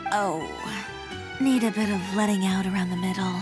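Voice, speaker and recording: A woman's voice: medium pitch, fairly even intonation, moderate pace, music in the background, close to an everyday voice. A woman voicing a cool, self-assured young fashion designer in a video game, composed and dryly teasing. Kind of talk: video game character dialogue.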